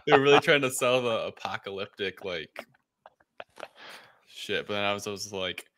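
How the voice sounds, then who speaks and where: A man's voice: fairly low pitch, animated, moderate pace, a bit bright, a little hiss or hum. A man co-hosting a podcast, talking casually as he reviews a film. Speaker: podcast co-host.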